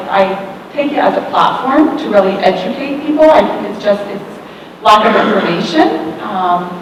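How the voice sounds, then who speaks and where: A woman's voice: mid-range voice, far from the mic, a little hiss or hum. Woman speaking in an echoey hall. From Oklahoma, USA. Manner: lively, laughs between points. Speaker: panelist. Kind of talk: panel discussion. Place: school hall. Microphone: distant room mic.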